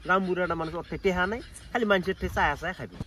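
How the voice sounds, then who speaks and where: A man's voice: high voice, very fast, sharp tone, a little hiss or hum. A man playing a chatty, mocking villager in an amateur comedy skit, with a northern Bengali regional accent.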